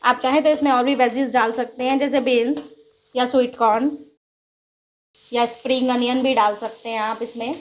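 High-voiced woman teaching a home recipe. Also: steady tone, moderate pace, slight background noise, low-quality recording. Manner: patient, instructive, addresses viewers as friends. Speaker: cooking channel host. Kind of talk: step-by-step cooking demonstration. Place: home kitchen with gas stove.

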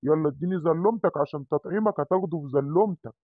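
A man's voice: on the high side, fast, no room sound, narrow, tinny audio, natural voice. A man voicing a kindly dog doctor who coaxes children into their shots, with an Egyptian accent. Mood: soothing. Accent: Egyptian Arabic. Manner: soothing, cajoling.

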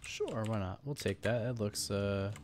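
Low-voiced man casually playing a game. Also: unhurried, darkish tone, slight background noise. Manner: offhand, joking, slangy. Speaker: gaming video host. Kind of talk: casual let's play commentary. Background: game music, keyboard clicks.

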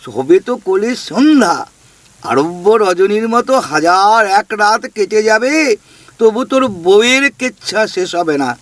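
A man's voice: sits high, expressive, a little slow, fairly bright tone, dry recording. A man playing a teasing, gossipy ghost in a comic audio drama, talking with mocking familiarity and scolding questions. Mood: gloating gossip. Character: cheeky male ghost, teasing gossip who talks with folksy familiarity.

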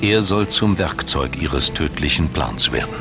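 Male documentary narrator, very deep, measured and dramatic, music bed underneath. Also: lively intonation, creaky. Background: background music.

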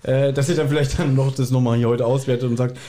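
A man's voice: medium pitch, fairly even intonation, fast, warm tone. A man hosting a podcast in casual, chatty conversation. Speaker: podcast host. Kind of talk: casual chat.

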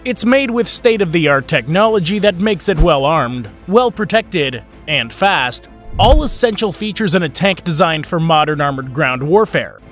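A man giving scripted documentary narration in an even, informative way. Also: on the high side, moderate pace. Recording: voice-over with faint background music.

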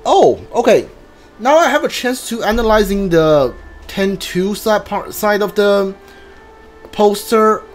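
A man's voice: sits high, animated, a little slow, soft background music. A man giving casual, rambling live game commentary, hesitant and thinking aloud. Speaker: streamer playing a game blind. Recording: clearly noisy background.

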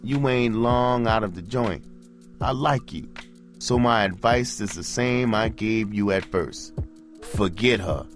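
A man playing a cold, hard older pimp who lectures in gruff street slang. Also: fairly low pitch, slow, voice pushed up. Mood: calm. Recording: music bed behind the voice.

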